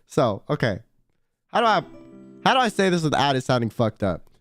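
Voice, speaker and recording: A man's voice: medium pitch, very animated, moderate pace. A young man streaming a casual late-night chat, rambling in slang and reading donations aloud. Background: faint background music.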